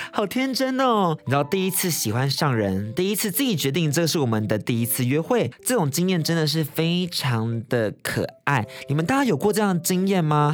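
A man with a Taiwanese Mandarin accent hosting a podcast in a chatty, playful, cheeky and gushing way. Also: on the high side. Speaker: podcast host.

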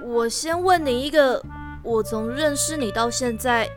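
A woman's voice: on the high side, measured pace, close to an everyday voice. A woman voicing a playful, teasing young female friend who giggles and loves to poke fun. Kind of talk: amateur audio drama acting. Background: background music.

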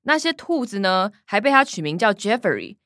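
An actress with a Taiwanese Mandarin accent voicing a sarcastic, laughing young adult daughter in a radio drama. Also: female voice, mid-range voice, very animated, a bit bright, no echo, clean studio sound. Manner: sarcastic, laughing, quick retorts.

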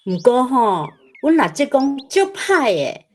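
A woman's voice: sits high, big pitch swings, a little slow, exaggerated voice. A woman with a Taiwanese accent playing a fond mother telling childhood memories in a children's radio drama. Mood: animated. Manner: chuckling storyteller. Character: fond nostalgic mother recalling her childhood.

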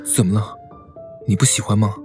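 An actor playing a gentle, devoted and protective young man in a romantic radio drama, speaking earnestly. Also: lowish voice, fairly even intonation, brisk, soft background music, natural voice. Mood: tender, earnest. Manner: tender, earnest, caring.

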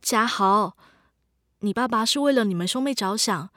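An actress playing a devoted, anxious younger sister in a radio drama, speaking earnestly. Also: female voice, on the high side, normal speed, a bit bright, lightly acted. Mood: earnest, firm. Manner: earnest, pleading.

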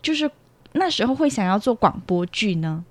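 A woman's voice: mid-range voice, big pitch swings, moderate pace, no room sound. A young woman with a Taiwanese Mandarin accent, a student interviewer chatting casually and checking back with short tag questions. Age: young adult. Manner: attentive, frequent short acknowledgements and tag questions.

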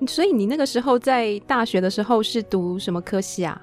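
A woman's voice: a little high, lively intonation, fairly quick, faint music behind. A woman hosting a casual interview, attentive and responding with listening murmurs. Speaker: podcast host, interviewer.

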